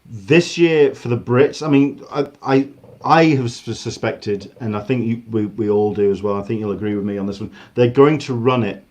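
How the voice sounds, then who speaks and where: A man with an English accent talks through a casual livestream from his home, rambling and doubling back mid-sentence. His voice sits in an ordinary middle register and comes through a video call. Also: mid-range voice. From England. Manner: rambling, restarts often, animated. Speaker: host. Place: home room. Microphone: video call with earphones.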